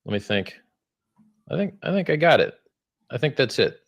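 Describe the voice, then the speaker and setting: A man in an archived debate, hesitantly summarizing the other side's points with many filler pauses. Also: medium pitch, sing-song, gravelly.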